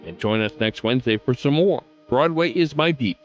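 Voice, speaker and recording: A man's voice: medium pitch, lively intonation, brisk, dull audio, soft background music. A man hosting a podcast with a scripted delivery, recorded close on the mic. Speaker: podcast host.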